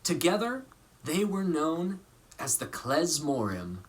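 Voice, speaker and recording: A man reading a children's story aloud as an actor, with deliberate, theatrical phrasing and dramatic emphasis. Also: a little high, very animated, slight background noise. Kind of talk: expressive storybook narration.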